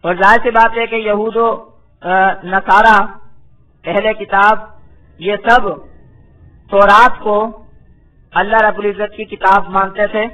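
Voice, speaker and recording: A man's voice: high-pitched, steady tone, unhurried, pauses often, bright, forceful tone, rough recording. A man giving a religious lecture as a Quran teacher, reciting verses phrase by phrase, then translating and explaining them with didactic repetition. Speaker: Islamic scholar, Quran teacher.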